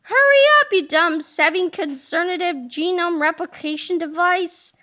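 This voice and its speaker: A woman's voice: very high voice, steady tone, unhurried, a bit bright. A woman voicing a whiny, arrogant little boy genius in a children's book read-aloud. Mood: frantic, bossy.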